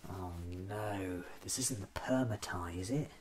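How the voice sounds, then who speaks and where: A man in his thirties doing a soft-spoken ASMR roleplay as a fussy, eccentric doctor musing to himself, often slipping into a whisper. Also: low voice, unhurried. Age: 30s. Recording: clearly audible background noise.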